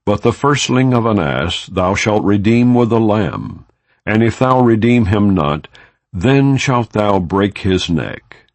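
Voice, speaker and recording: A man reading scripture as an audiobook narrator, in a solemn, measured and formal manner without dramatization. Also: on the low side, expressive, no room sound.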